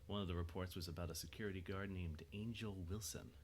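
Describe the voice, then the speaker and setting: Low-pitched male story narrator. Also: steady tone, fast talker, a little hiss or hum.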